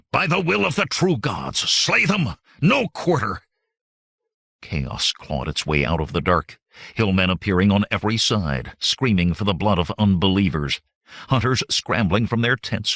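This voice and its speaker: A deep-voiced man narrates heroic fantasy fiction. His reading is dramatic and scripted, with very lively swings in pitch, captured close and dry. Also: no room sound. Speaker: audiobook narrator.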